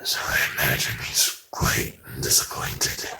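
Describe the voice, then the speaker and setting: A man voicing a menacing, deathly animatronic horror-game villain with a gravelly voice and a slow, ominous, taunting manner. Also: lightly acted. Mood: ominous menace. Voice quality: gravelly, very deep. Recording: noisy, echoey, distant-sounding.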